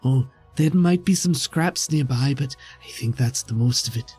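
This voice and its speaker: Man as injured game villain: husky, oily, over-polite, faltering delivery. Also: mid-range voice, normal speed, a little mellow, soft background music, close to an everyday voice. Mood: grudging. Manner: hesitant, obsequious, overly polite.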